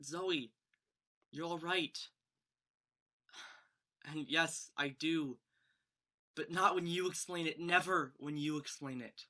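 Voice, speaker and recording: Young woman playing worried teenage best friend, bright forceful voice, stammering, earnest, student theatre acting. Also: deep voice, slow pace, pauses often, heavily acted. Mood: tender. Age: young adult. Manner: flustered, stammering, emphatic. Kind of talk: student one-act stage acting.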